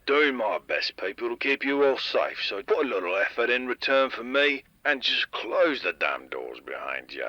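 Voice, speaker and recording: Man playing a forceful, stern town security chief. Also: mid-range voice, very animated, normal speed, hardly any pauses, dry recording, dull audio, full character voice. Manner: stern, exasperated. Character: gruff, dutiful male town security chief. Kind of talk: scripted radio audio drama, public announcement.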